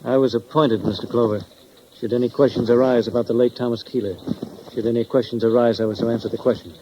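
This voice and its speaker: Actor as pompous, fast-talking bank official. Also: male voice, mid-range voice, flat delivery, dull audio, lightly acted. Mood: officious. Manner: stiff, formal, muttering then over-enunciating. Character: pompous, fussy, discreet male bank official. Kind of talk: 1950s radio acting. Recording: noisy old radio recording.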